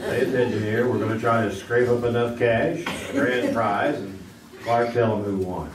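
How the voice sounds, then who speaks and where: A man's voice: medium pitch, fairly even intonation, moderate pace, over a noisy crowd, close to an everyday voice. A man playing a cheery 1950s game show host in a live stage show, joking and laughing at his own gags. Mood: genial. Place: small theater with live audience. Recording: echoey theater, distant mic, loud noise.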